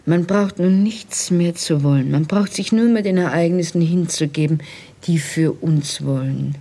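An actress playing a tender, resigned wife facing arrest in a radio drama, hushed and breathy, speaking solemnly and intimately. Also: female voice, on the low side, normal speed. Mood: weary relief. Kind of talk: literary radio drama acting.

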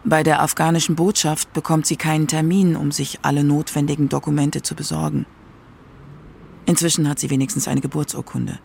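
A narrator reading a scripted radio documentary in a measured, prepared way. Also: medium pitch, level tone, vocal fry, darkish tone, a little hiss or hum.

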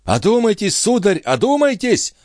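A man voicing a flustered, easily alarmed post-station keeper in a storybook, protesting and shouting. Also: medium pitch, wildly swooping pitch, normal speed, no room sound, clean studio sound, pitched above the performer's own voice. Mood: frantic, outraged.

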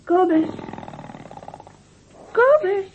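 An actress playing a brisk, no-nonsense bride-to-be in a radio play, scolding and questioning in exasperation. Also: female voice, extremely high pitch, expressive, a little hiss or hum, full character voice. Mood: nagging, suspicious. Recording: thin, narrow-band old radio recording.

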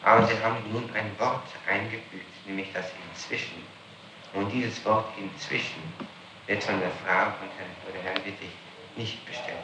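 A man's voice: fairly low pitch, fairly even intonation, moderate pace. Probing male interrogator, distant and very echoey. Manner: probing, insistent, challenging. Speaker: police interrogator. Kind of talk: formal interrogation questioning. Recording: very echoey, distant, noisy archival tape.

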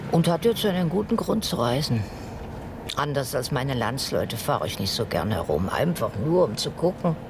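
An actress playing a talkative, wry old German-born American grandmother and widow in a radio drama. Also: heavily acted. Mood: chatty, fond. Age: older. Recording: noisy, distant, vehicle rumble behind.

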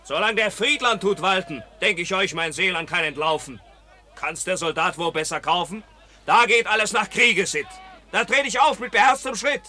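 A man's voice: sits high, moderate pace, bright, forceful tone. A man in a 1950s radio play acting a carefree, swaggering rifleman who declaims boastful, mocking rhymed verse. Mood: boastful, defiant.

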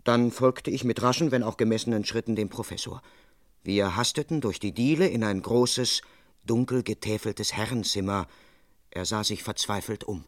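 An actor playing a dutiful London police constable in a crime radio play, speaking in a measured, formal, precise and officious way. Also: male voice, on the low side, no room sound, studio quality, natural voice. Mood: measured, tense. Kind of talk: German crime radio play, first-person narration and dialogue.

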